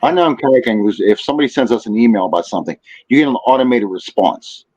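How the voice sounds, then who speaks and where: A man's voice: medium pitch, animated, quick pace, darkish tone. Male podcast guest, mid-range voice, slightly muffled recording, conversational and instructive, circles back over his phrases. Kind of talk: casual chat giving practical advice.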